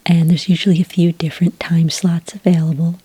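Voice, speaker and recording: A woman playing a friendly, patient witch enrollment clerk in an ASMR roleplay, speaking in a gentle, breathy whisper with an unhurried, helpful manner. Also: on the low side, flat delivery, dry recording. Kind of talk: soft-spoken ASMR roleplay.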